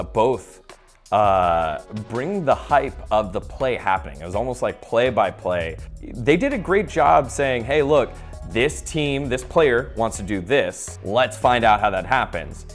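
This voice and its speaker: A man in his thirties chatting casually and enthusiastically as an esports host, with an upbeat, animated manner. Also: lowish voice. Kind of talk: casual enthusiastic interview chat.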